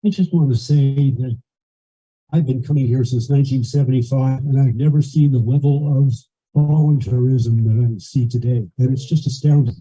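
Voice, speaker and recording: A muffled, lo-fi recording of an older man speaking at a meeting. His voice is very dark and husky as he offers appreciative remarks. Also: medium pitch, fairly even intonation, normal speed. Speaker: long-time community member. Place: community meeting, captured on a video call. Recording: dull, lo-fi meeting audio over video call.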